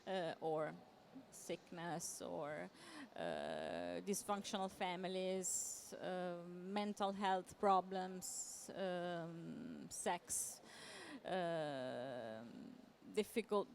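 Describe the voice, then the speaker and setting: A woman giving a semi-improvised conference presentation as a literary translator, halting and often repeating words as she searches for phrasing. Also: on the low side, lively intonation. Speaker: literary translator, panel speaker. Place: live talk at a book fair event. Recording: clear background noise.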